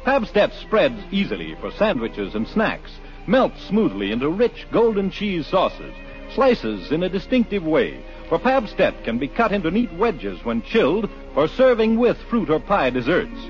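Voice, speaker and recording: A man's voice: fairly high pitch, huge pitch swings, unhurried, soft background music. An American man reading a scripted 1940s radio commercial as announcer. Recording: muffled 1940s radio recording.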